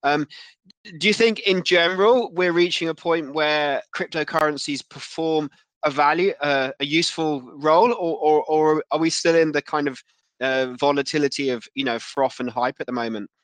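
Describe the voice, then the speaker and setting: A man hosting a casual interview, speaking in a halting way and often repeating words as he frames questions. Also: a little high, a bit bright, no room sound. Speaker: interviewer, podcast host.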